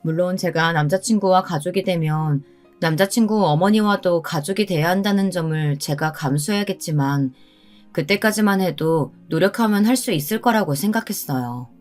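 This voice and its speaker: Low-pitched woman narrating a story. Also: moderate pace, darkish tone, faint music behind. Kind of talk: scripted first-person dramatic story narration.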